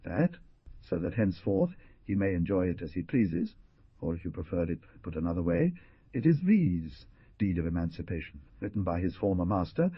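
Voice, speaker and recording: A man voicing a calm, kindly hunter who explains things in a measured way. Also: low voice, husky, dry recording, exaggerated voice. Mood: explaining. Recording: dull lo-fi recording.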